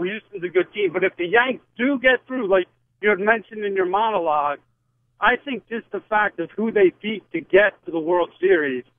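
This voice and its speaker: Male radio caller: high voice, casual and chummy sports talk, narrow phone-line sound. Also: no echo. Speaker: radio call-in listener.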